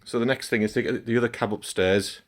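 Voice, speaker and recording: A middle-aged man with a croaky voice from a cold, chatting casually in a home vlog as he works and comments on it. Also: mid-range voice, steady tone, fast talker. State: hoarse from a cold. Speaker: gaming channel host.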